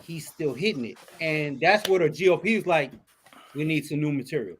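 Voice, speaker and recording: A man's voice: fairly high pitch, brisk, slight background noise. A man co-hosting a casual podcast, talking politics with friends, swearing freely and restarting his sentences. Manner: animated, swearing, many restarts. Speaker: podcast co-host.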